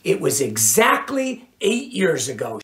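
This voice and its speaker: A woman voicing a loud, volatile rock groupie who shouts and screams. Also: big pitch swings, measured pace. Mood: brash. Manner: shrill, shouting, screaming tirades.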